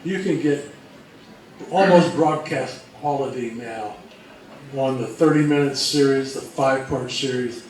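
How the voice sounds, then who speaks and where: A man giving an informal explanatory presentation to a room, speaking emphatically in short, measured phrases. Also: medium pitch. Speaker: co-presenter at an old-time radio convention talk. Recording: echoey, distant, faintly noisy live recording.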